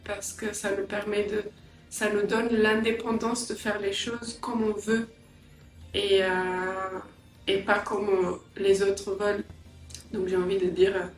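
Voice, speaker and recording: A middle-aged woman from Angola and England giving a personal interview, reflective and hesitant, often pausing mid-sentence. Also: medium pitch, flat delivery. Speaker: interviewee, entrepreneur and language teacher. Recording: roomy echo, distant mic, faint music.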